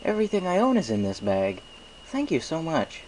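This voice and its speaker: A man voicing a polite, hesitant young male winged pony with a halting, awkward manner in a fan story. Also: a little high, wildly swooping pitch, darkish tone, a little hiss or hum, voice pushed up, low-quality recording. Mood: grateful.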